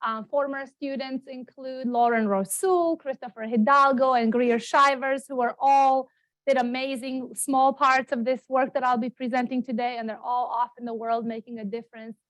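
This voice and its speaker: A middle-aged woman from Romania gives a talk at a standing microphone. Her voice sits a little high, and she talks chattily, correcting herself with lots of ums and repeats. The sound is dry and close. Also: no room sound, poor audio. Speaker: guest speaker, geography professor. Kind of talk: public lecture. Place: meeting room, also streamed on Zoom. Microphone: standing microphone, not held.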